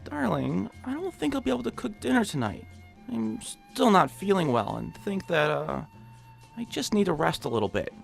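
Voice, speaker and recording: A man's voice: high-pitched, sing-song, moderate pace, creaky, soft background music, heavily acted. A man in an audio drama playing a timid, eager, put-upon clerk, hesitant and a little whiny. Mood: defensive.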